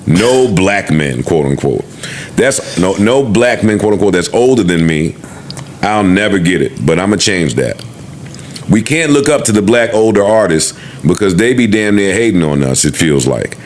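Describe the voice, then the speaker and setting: A man's voice: sits low, lively intonation, moderate pace, slight background noise. A man hosting a podcast in casual panel talk. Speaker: podcast host.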